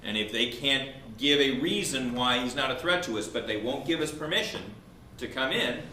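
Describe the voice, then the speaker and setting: A man's voice: medium pitch, normal speed, bright, forceful tone. A man who is a law professor arguing a legal point in a debate, explaining step by step and posing questions that he answers himself. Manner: argumentative, explanatory, poses and answers own questions. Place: indoor room at a law school event. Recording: roomy echo with faint background noise.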